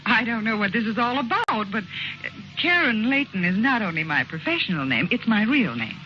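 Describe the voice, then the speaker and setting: A woman's voice: a little high, sing-song, fairly quick. An actress playing a guarded woman on the run in vintage radio drama style, polite and hesitant as she hides who she is. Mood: guarded, uneasy. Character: guarded actress, a female fugitive hiding her identity. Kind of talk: 1940s radio acting. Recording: muffled, noisy old radio recording.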